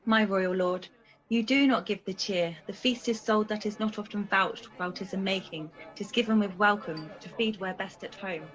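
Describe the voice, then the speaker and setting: Steely, scornful queen, female amateur actor, medium pitch, radio play verse, dullish call audio. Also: moderate pace, a bit bright, soft background music, close to an everyday voice. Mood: gracious. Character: commanding, scornful queen covering for her faltering husband. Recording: slightly dull video call audio.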